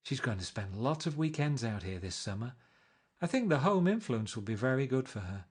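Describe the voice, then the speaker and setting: A man reading aloud and voicing a flighty, teasing wealthy young woman with a high, gushing lilt. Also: big pitch swings, brisk, breathy edge. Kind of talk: audiobook character voice.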